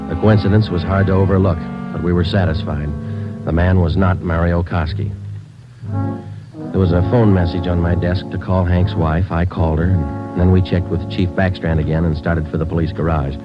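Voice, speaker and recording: A man acting a terse American police detective from a 1940s radio drama. The voice is deep and matter-of-fact, with clipped delivery. The old broadcast sounds dull, with music prominent behind it. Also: steady tone, normal speed, close to an everyday voice. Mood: deadpan. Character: terse, hard-boiled male police detective sergeant.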